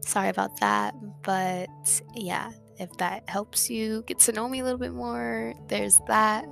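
A young woman hosting her own podcast, talking in a soft, reflective way and repeating words for emphasis. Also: a little high, fairly even intonation, measured pace, vocal fry. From Dominican family background. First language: English. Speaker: podcast host. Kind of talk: casual solo podcast monologue. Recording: music playing underneath.

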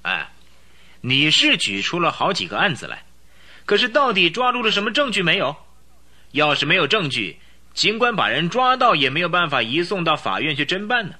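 A haughty aristocrat with a secret criminal life in a radio play. He scoffs at every charge, his very bright, sharp voice sliding high and low. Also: sing-song, moderate pace, full character voice. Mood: sneering. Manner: sneering, scornful, haughty. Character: arrogant aristocratic male count, gang boss. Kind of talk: radio drama acting.

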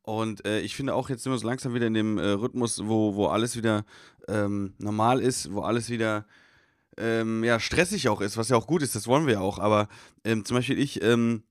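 A man co-hosting a podcast while he has a cold, rambling with lots of fillers at a fast clip. His voice is recorded close and dry. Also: lowish voice, no room sound. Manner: rambling, filler-heavy talk. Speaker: podcast co-host. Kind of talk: casual chat.

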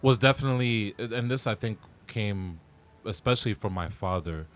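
A man's voice: on the low side, normal speed. A man co-hosting a casual podcast chat, speaking thoughtfully with ums and restarts. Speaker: podcast co-host.

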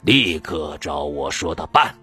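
A man doing a calm, commanding old elder. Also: husky, soft background music, full character voice. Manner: low, slow, firm commands.

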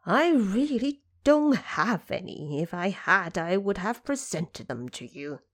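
Elderly dragon king played by a woman, nervous, deferential and placating, with a very changeable pitch and dry sound, for fantasy audio drama. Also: sing-song, slow pace, no echo. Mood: apologetic. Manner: pleading, hesitant, deferential. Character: anxious old male dragon king, placating and courteous.

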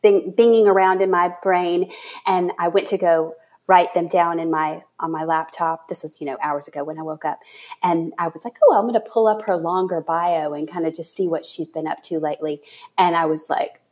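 A woman's voice: on the low side, fairly even intonation, normal speed, darkish tone. A woman from South Carolina hosting a chatty podcast interview from a studio, with an effusive, enthusiastic way of talking. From Charleston area, South Carolina. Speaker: podcast host.

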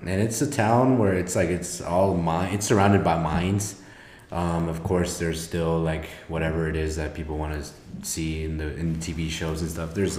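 A man from Mexico co-hosting a casual podcast as a youth mentor, relaxed and quick to laugh. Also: deep voice, roomy sound, slight background noise.

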